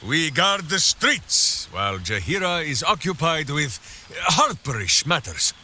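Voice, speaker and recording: An actor playing a hearty, simple-minded big warrior in a video game, boisterous and theatrical, speaking of himself in the third person. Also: male voice, fairly high pitch, big pitch swings, a little slow, bright, forceful tone, slight background noise, heavily acted. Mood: boastful storytelling. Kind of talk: video game character acting.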